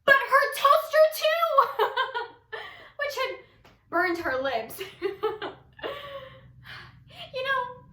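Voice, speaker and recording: Girl acting out a wild unstable teen storyteller, high bright voice, theatrical monologue, echoey room. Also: very animated, very slow, long pauses, heavily acted. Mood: manic, giggling. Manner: theatrical, breaking into unhinged laughter, swinging intensity. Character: giggling, manic, unstable teenage storyteller, bitter and wild. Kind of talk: dramatic monologue performance.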